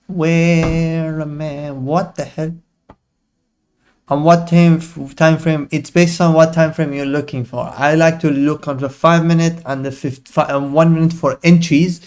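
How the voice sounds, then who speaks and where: A man's voice: fairly high pitch, clear, resonant tone. A young man hosting a live trading stream, joking with chat in slang and explaining chart setups in loose bursts. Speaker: livestream trading host.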